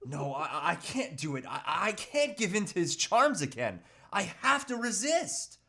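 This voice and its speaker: A man's voice: sits high, very animated, measured pace, pushed and bright, full character voice. A man playing a troubled, self-pitying husband and father who earnestly resists temptation, with hesitant, pleading delivery in a script read. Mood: pleading, self-coaxing. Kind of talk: live table read of a movie script.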